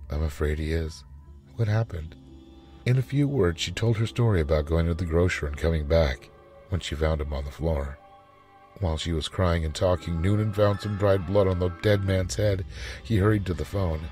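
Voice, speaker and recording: A man reading a short story as a dramatic, expressive narrator. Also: low-pitched.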